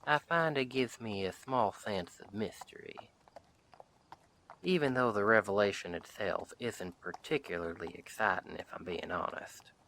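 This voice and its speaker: Man playing a slow-talking, friendly Western sheriff. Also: fairly low pitch, fairly bright tone, a little hiss or hum, poor audio. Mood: wry, chatty. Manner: folksy, unhurried, wry, chatty. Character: friendly wandering Western sheriff, easygoing drifter, dryly humorous. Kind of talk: audio roleplay, speaking directly to the listener.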